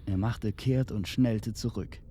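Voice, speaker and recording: A man narrating a fantasy tale in a suspenseful, measured storytelling manner. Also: fairly low pitch, dark tone, a little hiss or hum. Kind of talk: dramatic scripted fantasy narration.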